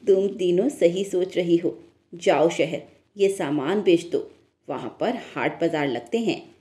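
A woman's voice: on the low side, lively intonation, slow pace, dark tone. A woman voicing a caring, encouraging village mother of three daughters in a cartoon story.

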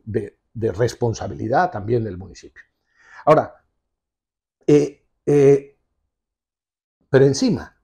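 A man's voice: mid-range voice, mellow, breathy edge. A man in his sixties, a television host, giving emphatic and insistent political commentary in an office, recorded on a lapel mic. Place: indoor office with leather sofa.

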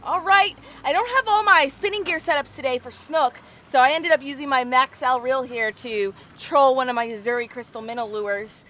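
High-pitched, excitable American woman vlogger. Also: moderate pace, hardly any pauses. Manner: excitable, exclamatory, informal. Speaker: fishing show host. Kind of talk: casual vlog chat, explaining to viewers. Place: outdoors on a small open fishing boat on water. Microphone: action camera at arm's length or a few feet away.

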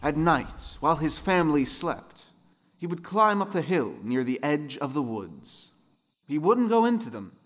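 A man narrating a folktale audio play with dramatic, expressive storytelling. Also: on the high side, unhurried. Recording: long reverb, music underneath.

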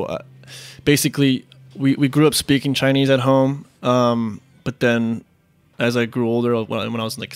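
Smooth-voiced man, halting and relaxed. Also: medium pitch. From Queens, New York. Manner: relaxed, halting, many fillers and restarts. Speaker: restaurant managing partner, guest. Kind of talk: casual interview answers.

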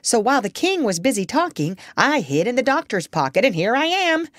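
A woman's voice: fairly high pitch, sing-song, a little fast, no echo, pitched above the performer's own voice. A sly, self-assured female parrot from a children's storybook, played by a woman reader. The voice swings expressively up and down and sounds proud and assertive, in a dry recording. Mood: smug. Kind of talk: children's storybook character reading.

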